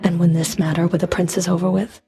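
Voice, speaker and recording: A woman's voice: fairly low pitch, little pitch movement, fast talker, vocal fry, dark tone. A woman playing a stern, scornful underworld fury in a video game, with a cold, sardonic, taunting delivery. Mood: weary, sardonic. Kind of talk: scripted video game character dialogue.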